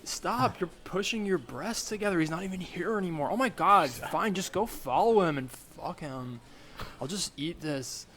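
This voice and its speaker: A man's voice: high voice, lively intonation, normal speed, a bit bright. A man playing a sensible but envious young woman in improvised comedy, talking to a friend in an incredulous, scolding manner. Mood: exasperated, scolding. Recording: noisy remote recording.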